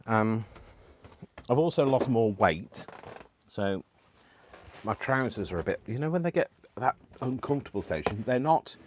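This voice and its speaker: A man's voice: mid-range voice, animated, unhurried, pauses often, slight background noise. A British man hosting a live crafting stream, rambling casually to viewers with lots of ums, small laughs and self-deprecating asides. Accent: British English. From United Kingdom. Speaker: crafting livestream host.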